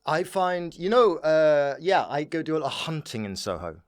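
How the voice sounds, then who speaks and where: A man chats casually as a comic podcast guest. His pitch swings animatedly in a dry, close recording, and he rambles and repeats phrases in a giggly way. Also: sing-song, normal speed, no echo. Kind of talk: casual comedic chat.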